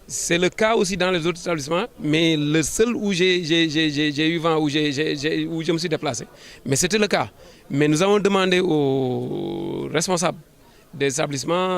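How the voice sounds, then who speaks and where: A man with a Senegalese accent answering an interview as a government official, in formal administrative phrasing, often halting and repeating words. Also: on the high side. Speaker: government official, sub-prefect.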